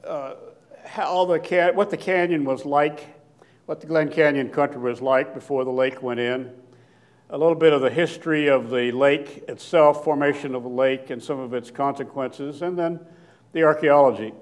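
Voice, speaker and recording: An older man giving a slide lecture in a hall, with a loud voice and frequent fillers and restarts. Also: fairly high pitch, fairly even intonation. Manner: loud, plain-spoken, halting with many fillers and restarts. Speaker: guest lecturer, archaeologist. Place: lecture hall with seated audience. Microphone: podium microphone, standing at lectern.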